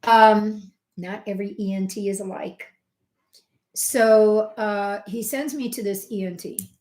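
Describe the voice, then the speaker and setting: A middle-aged woman, a homeopath, talks to her viewers in a loose, chatty way, full of fillers and side remarks. She jumps between loud, emphatic bursts and quiet asides at a mid pitch. Her voice rings a little in a roomy space. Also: measured pace. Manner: expressive, swings from loud emphasis to soft asides. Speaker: homeopath hosting a health channel. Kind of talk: casual personal storytelling to camera. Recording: roomy echo.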